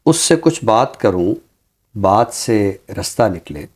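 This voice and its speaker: A man speaking as a commentator on a literary show, in a deliberate, reflective way with emphatic phrasing. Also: on the low side, very animated, darkish tone. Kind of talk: reflective commentary and Urdu poetry recitation.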